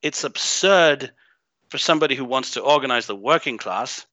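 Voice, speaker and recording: A man's voice: mid-range voice, expressive, moderate pace, bright, forceful tone, no echo. A man who grew up in Greece, a guest in a casual interview, talking bluntly with swearing and plenty of ums and restarts. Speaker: podcast guest. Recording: slightly muffled dry recording.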